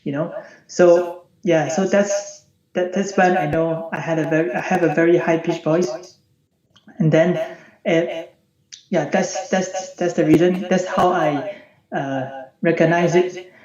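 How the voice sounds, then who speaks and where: A man with a high-pitched, youthful, unbroken voice, recounting his situation in a repetitive, conversational way as a client on an online call. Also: steady tone, a little slow, darkish tone. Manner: repetitive, says "you know" often. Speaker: client, accountant. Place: remote video call. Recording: echoey, muffled online call with background chatter.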